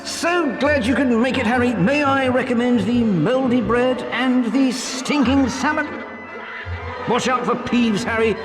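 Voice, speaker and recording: Courteous gentleman ghost, game character, very high pitch, formal, morbidly festive, long cavernous reverb. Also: a little slow. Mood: genial, ghoulish. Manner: genteel, formal. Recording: long hall reverb, music behind.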